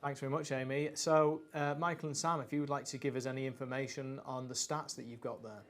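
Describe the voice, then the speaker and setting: A man's voice: medium pitch, level tone, fast talker. Upbeat man hosting a race show. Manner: upbeat, links segments, some fillers. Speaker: presenter. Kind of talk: live event presenting.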